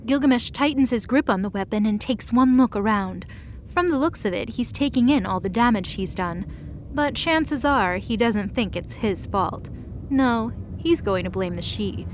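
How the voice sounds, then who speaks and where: A woman's voice: a little high, animated, moderate pace, a little hiss or hum. A woman giving dramatic scripted narration for a mythology audio story.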